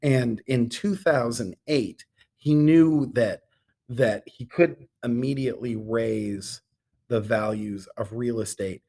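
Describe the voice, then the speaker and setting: A middle-aged man speaking as an interview guest in an earnest, measured way with deliberate pauses. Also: medium pitch, mellow, breathy edge. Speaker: podcast guest, theatre artistic director. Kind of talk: conversational interview talk.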